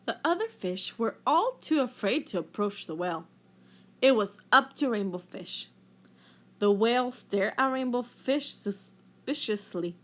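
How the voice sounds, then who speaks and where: Casting: female storyteller, high voice, very lively intonation, expressive picture-book narration for children. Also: measured pace. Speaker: teacher reading a story aloud.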